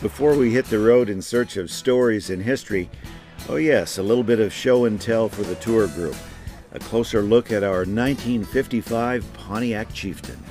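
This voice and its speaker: A man's voice: fairly low pitch, moderate pace, a little mellow, soft background music. A man hosting a travel show, reading scripted narration. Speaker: host and narrator.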